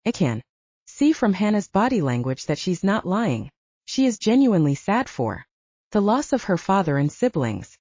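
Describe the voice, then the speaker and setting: A woman reading fiction as a first-person narrator, with expressive, measured storytelling. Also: mid-range voice, husky, no echo, lo-fi sound. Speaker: audiobook narrator.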